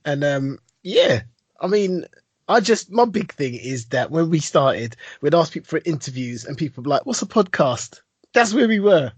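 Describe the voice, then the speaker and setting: A man's voice: fairly high pitch, big pitch swings, moderate pace, husky, dry recording. A man co-hosting a podcast in casual chat, enthusiastic and rambling, with some teasing. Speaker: podcast co-host.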